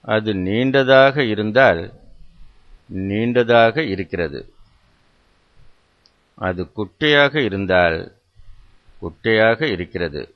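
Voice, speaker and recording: A man gives guided meditation instructions in a measured, instructional way, repeating phrases with pauses between them. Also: fairly low pitch, animated. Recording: dull, muffled lo-fi sound.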